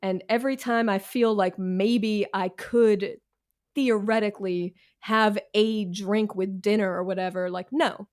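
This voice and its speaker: A woman's voice: mid-range voice, fairly even intonation, unhurried. An American woman talking casually as an interview guest, candid, wry and self-deprecating, with slangy phrasing. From Washington, D.C., United States.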